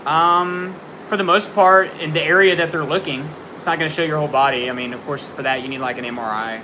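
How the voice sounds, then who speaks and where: A man's voice: on the high side, steady tone, fairly quick, background noise. A young man hosting a casual livestream from home, giving frank tough-love advice with lots of fillers, and jumpy at the storm outside. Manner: jumpy, startled by thunder. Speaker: livestream host answering viewer questions. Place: indoors at home near a window during a storm.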